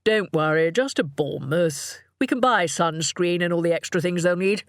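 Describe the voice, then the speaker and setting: A woman voicing a smooth, confident, well-off father in an audiobook, casual and hearty. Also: lowish voice, normal speed, no echo, clean studio sound, natural voice. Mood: breezy, coaxing.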